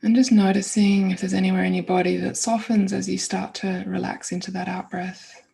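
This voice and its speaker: A woman guides a meditation in an informal, soothing manner. Her voice is husky and breathy, with plenty of creak at the edges. She speaks without hurry and often lets phrases fade to a near-whisper. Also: medium pitch, little pitch movement, brisk, warm tone.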